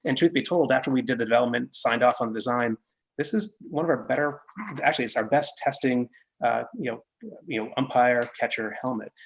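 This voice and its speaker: A man's voice: mid-range voice, fairly quick, gravelly, low-quality recording. A man from Massachusetts with no regional accent, a product development head, talking casually on a video call with many fillers and restarts.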